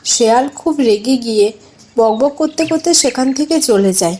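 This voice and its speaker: Higher-pitched woman storyteller. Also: lively intonation, moderate pace, a little mellow, a little hiss or hum. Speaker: narrator. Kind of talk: scripted children's story narration.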